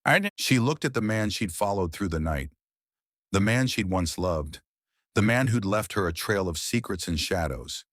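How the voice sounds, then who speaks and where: Low voiced guy reading a noir thriller, lively up and down, dry close sound. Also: no echo. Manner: dramatic, tense delivery with pauses. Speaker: audiobook narrator. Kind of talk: scripted thriller narration.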